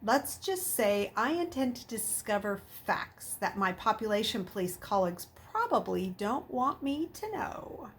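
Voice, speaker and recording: A woman voicing a shrewd male double agent in a storybook, with a measured, reasoning manner. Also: mid-range voice, very animated, slight background noise.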